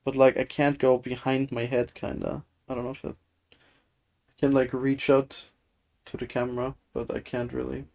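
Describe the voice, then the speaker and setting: A woman's voice: extremely low pitch, little pitch movement, normal speed, gravelly, a little mellow. A woman game streamer chatting casually and thinking aloud in a rambling, hesitant way. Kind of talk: casual livestream chat. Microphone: streaming setup with webcam. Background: music.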